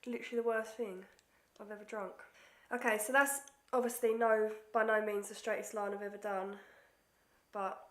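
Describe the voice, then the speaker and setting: A woman's voice: medium pitch, little pitch movement, normal speed. A young woman with a stuffy nose from a cold, chatting casually through a makeup tutorial and sometimes dropping to near-whispers as she concentrates. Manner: chatty, self-deprecating, drops to near-whispers while concentrating. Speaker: beauty vlogger. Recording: echoey room.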